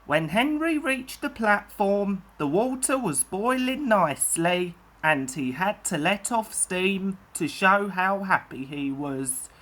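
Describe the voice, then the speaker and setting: A woman's voice: mid-range voice, a bit bright. A woman reading a children's story aloud as scripted narration.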